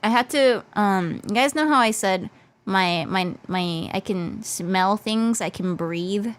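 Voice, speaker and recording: Woman streamer with a stuffy nose, slightly hoarse. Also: medium pitch, slow pace. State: slightly losing her voice. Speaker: VTuber livestreamer. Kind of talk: casual livestream chat in English mixed with Japanese.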